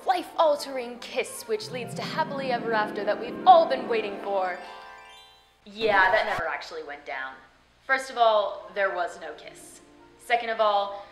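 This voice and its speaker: A woman's voice: mid-range voice, moderate pace, a bit bright, soft background music. A woman hosting and narrating fairy tales, addressing viewers in a playful, theatrical way. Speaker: host of a fairy tale web show. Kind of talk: scripted storytelling narration to viewers.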